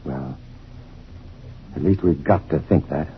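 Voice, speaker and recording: An American actor playing a calm, reassuring, educated scientist hero in an old-time radio drama. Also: male voice, extremely low pitch, slow. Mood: gentle, reassuring. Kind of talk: 1950s radio drama acting. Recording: dull, lo-fi old radio, faint noise.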